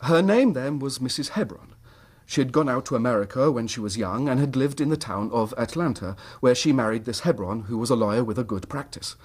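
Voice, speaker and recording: A man's voice: mid-range voice, animated, brisk, few pauses, breathy edge. An actor playing an earnest, troubled middle-class English husband telling his story in formal period language for a radio drama.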